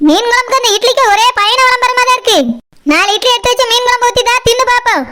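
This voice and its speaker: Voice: extremely high pitch, fairly even intonation, fast talker, bright, forceful tone. A performer voicing a grumpy, bossy, suspicious shop owner in a comic cartoon, scolding sarcastically with a Kanyakumari Tamil accent.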